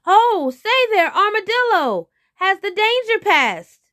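A hungry, easily rattled storybook tiger, acted out by a woman. He begs and wheedles in a high voice, dragging out his words with great drama. The delivery is slow and the sound close and dry. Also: lively intonation, darkish tone, no room sound, full character voice. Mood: desperate calling. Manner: drawn-out, wheedling, overdramatic pleading. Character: hungry, pleading, easily panicked male tiger. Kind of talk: animated storybook character voice.

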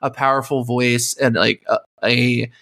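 A man as a podcast guest, talking slowly and calmly in casual conversation, with many fillers. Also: medium pitch, vocal fry. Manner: slow, calm, with many you-knows.

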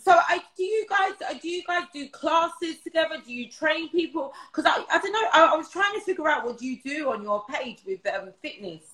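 Casting: effusive woman host, slightly high voice, echoey room, video call. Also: expressive, brisk, few pauses, pushed and bright. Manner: effusive, repeats words, lots of praise. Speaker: interview host. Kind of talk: casual interview over a video call.

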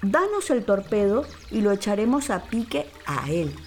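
A woman voicing an old, wise and sly male caiman in a children's storybook radio play, with deliberate theatrical delivery. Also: medium pitch, very animated, faint music behind, voice pushed up. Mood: earnest, pleading.

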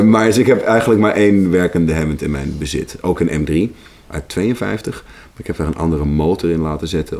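A man's voice: very deep voice, darkish tone. A man, a musician, chatting casually as an interview guest.

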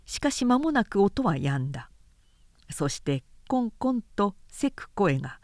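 A woman narrator giving a measured, clearly articulated reading of literary fiction in audiobook style. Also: mid-range voice, big pitch swings, a little mellow, no echo, clean studio sound.

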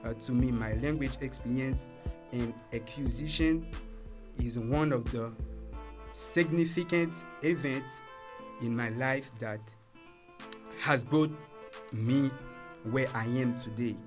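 A young man from Haiti with a Haitian accent, a native Creole speaker, reading out his own story as an English learner in a measured, careful way. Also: medium pitch, soft background music. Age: young adult. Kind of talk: reading a prepared personal story.

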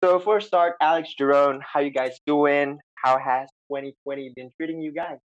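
Excitable man hosting a podcast, lo-fi. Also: fairly high pitch, moderate pace. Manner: excitable, lots of fillers and restarts. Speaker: podcast host. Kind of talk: casual chat. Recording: slightly dull lo-fi sound.

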